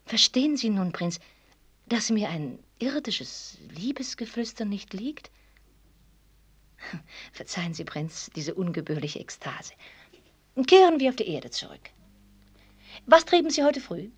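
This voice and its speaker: Radio drama: proud intellectual young lady, husky, very lively pitch, formal, teasing, fervent. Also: a little slow, long pauses. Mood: teasing, composed. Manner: articulate, teasing and formal, breaking into fervent speech. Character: proud, cerebral young woman who rapturously loves mathematics. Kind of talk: German radio drama acting.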